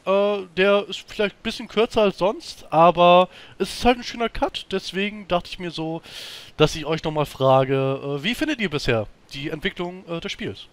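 A man's voice: sits high, moderate pace. A man hosting a let's play, explaining things in an informal, casual way. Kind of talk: casual chat.

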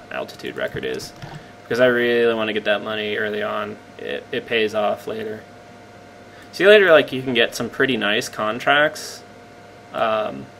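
A man with a slightly croaky voice casually commenting on his gameplay, rambling and poking fun at himself as he explains. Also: lowish voice, a bit bright, a little hiss or hum, rough recording. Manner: rambling, self-deprecating, explains as he plays.

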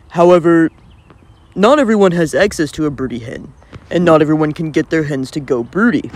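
Man narrating a chicken-care guide, slightly high. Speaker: channel host. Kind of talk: scripted voice-over narration.

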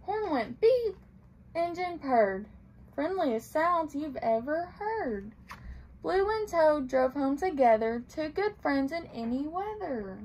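High-pitched young woman reading to children. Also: big pitch swings, slow, a little mellow, a little hiss or hum, poor audio. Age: young adult. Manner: sing-song storytelling with simple questions and explanations for small children. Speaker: playschool teacher reading aloud. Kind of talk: children's picture-book read-aloud. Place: small tiled indoor room.

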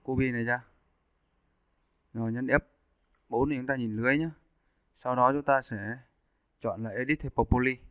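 A man giving a casual, step-by-step software tutorial as an animation instructor. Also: medium pitch, steady tone, normal speed, pauses often, clear, resonant tone, no room sound. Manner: informal step-by-step explaining. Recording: muffled narrowband phone-like audio.

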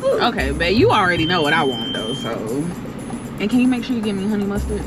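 A woman's voice: medium pitch, wildly swooping pitch, fast, loud music behind the voice. A young American woman in casual, bickering couple's chat inside a car. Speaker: vlogger's girlfriend. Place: inside a car at drive-thru.

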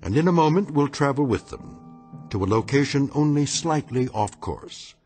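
A male narrator speaks in a measured, ominous and slightly wry way. His pitch rises and falls expressively. Faint music sits under him. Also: lively intonation, darkish tone. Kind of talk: scripted dramatic narration.

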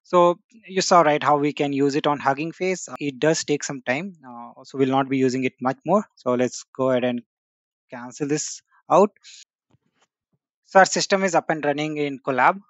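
A man's voice: a little high, moderate pace, no room sound, muffled recording. A man hosting a casual screen-share tech tutorial, talking with many "uh" and "you know" fillers. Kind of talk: screen-share software walkthrough.

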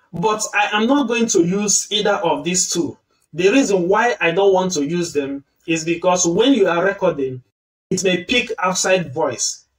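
A man walks through a software tutorial one click at a time, in a calm and patient manner. His voice sits a little high for a man. He sounds some distance from the computer mic. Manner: step-by-step, patient explaining. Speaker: tutorial host. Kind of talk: screen-recorded software tutorial.

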